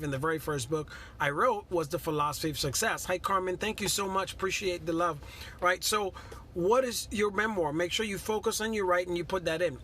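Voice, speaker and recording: Earnest middle-aged man, bright voice. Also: on the high side, slight background noise. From Guyana. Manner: earnest, repeats himself, greets viewers by name. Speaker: motivational live-stream host. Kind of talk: casual motivational talk.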